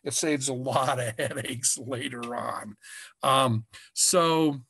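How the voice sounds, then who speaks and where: A man in his sixties with an American accent, giving an informal lesson as an instructor, halting and often repeating his words. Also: medium pitch, gravelly, bright, forceful tone, breathy edge, no room sound. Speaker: fishing instructor. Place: small indoor room, curtain backdrop. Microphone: webcam video call.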